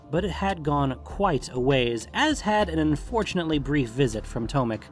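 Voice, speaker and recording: A man narrates a fantasy story in a scripted audiobook style. His voice sits at a medium pitch, and music plays behind the narration.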